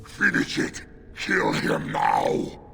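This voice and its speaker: A man's voice: very slow, fairly bright tone, a little hiss or hum. A man voicing a savage, vengeful werewolf in a video game, with a fierce, growling snarl. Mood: bloodthirsty fury. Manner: fierce, roaring delivery. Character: savage male werewolf beast, vengeful and bloodthirsty.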